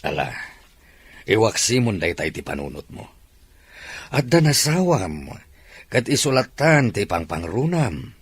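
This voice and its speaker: An actor playing a father counseling his son gravely. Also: lowish voice, big pitch swings, unhurried, pauses often, no echo, heavily acted.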